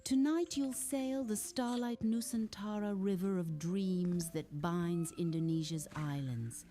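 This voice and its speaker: A woman voicing a gentle, inviting female hawk eagle, a magical guide in a children's storybook. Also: fairly low pitch, a little slow, natural voice.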